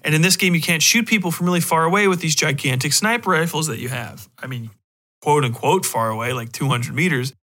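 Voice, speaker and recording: A man's voice: fairly high pitch, brisk, sharp tone. A man hosting a podcast, talking in a casual, opinionated way with blunt, casually profane phrasing. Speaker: podcast host. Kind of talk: casual opinionated discussion of video games.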